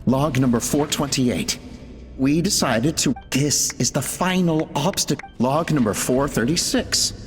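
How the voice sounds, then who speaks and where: A man's voice: medium pitch, a little slow, close to an everyday voice. A man playing a driven, troubled researcher reading out log entries in a dramatic game, earnest and brooding. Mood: weary, bitter. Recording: echoey hall reverb, music behind.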